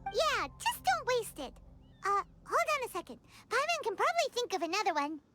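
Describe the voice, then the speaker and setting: Tiny excitable fairy companion for a game: extremely high childlike voice, very animated, chatty. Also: female voice, a little fast, faint music behind, exaggerated voice. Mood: eager. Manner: speaks of herself in the third person, exclamatory. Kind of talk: video game voice acting.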